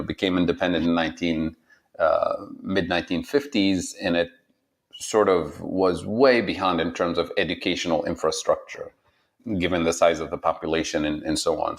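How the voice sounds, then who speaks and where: A man from Tunisia, a guest on a podcast, reflecting in a relaxed way, often restarting phrases and laughing lightly. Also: low-pitched. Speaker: guest, economics professor. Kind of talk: conversational podcast interview.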